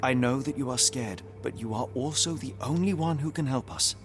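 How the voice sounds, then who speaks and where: An actor playing a composed, analytical British detective in a video game, speaking in a measured, formal way. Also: male voice, medium pitch, music in the background, natural voice. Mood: calm, coaxing. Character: composed, analytical young gentleman detective, coolly persuasive.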